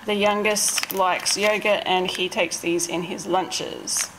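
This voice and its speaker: Australian woman, 30s, mid-pitched, casual home vlogger talking off the cuff, faint noise behind her. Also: fairly even intonation, slow pace. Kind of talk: casual chat, narrating a grocery haul.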